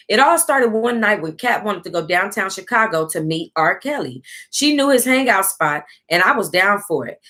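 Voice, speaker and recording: An American woman hosting an online book club, reading aloud casually in an animated, salty-tongued way and breaking off to react. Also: medium pitch. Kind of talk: casual livestream read-aloud with running reactions.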